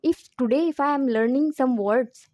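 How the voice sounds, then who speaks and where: A woman's voice: sits high, moderate pace, no echo, dull audio. A woman who speaks English as a second language, giving an informal lesson from personal experience and repeating points for emphasis. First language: not English. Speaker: English teacher giving advice.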